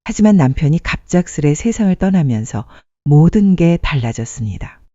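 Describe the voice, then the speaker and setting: Story narrator, mellow tone, animated pitch, earnest, scripted drama, dry slightly muffled recording. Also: normal speed, no room sound. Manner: earnest storytelling with rhetorical questions.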